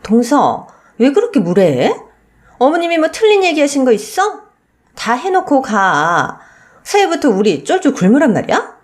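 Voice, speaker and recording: Lady doing a bossy sister-in-law, accusing, high and swoopy pitch. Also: wildly swooping pitch, normal speed. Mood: reproachful. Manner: accusatory, chiding. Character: nagging, selfish elder sister-in-law, sharp and blaming.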